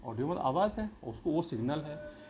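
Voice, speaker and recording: Man, slightly high voice, school teacher explaining, repetitive rephrasing, question-and-answer delivery, some background noise. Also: sing-song, fairly quick. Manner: repeats and rephrases points, poses questions then answers them. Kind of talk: explanatory classroom-style lesson.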